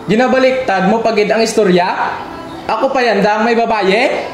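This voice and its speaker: A man's voice: high-pitched, normal speed, close to an everyday voice. A man playing a jealous, accusing, abusive young husband in an amateur radio drama, with a Western Visayan accent. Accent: Western Visayan Philippine. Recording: roomy echoing recording, faint music.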